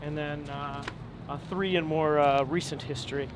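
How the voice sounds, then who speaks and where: A man's voice: fairly high pitch, moderate pace. Thoughtful man talking outdoors over street noise. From Long Island, New York. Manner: relaxed, thoughtful, many ums and restarts. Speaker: opera tenor guest. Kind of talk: casual interview while walking.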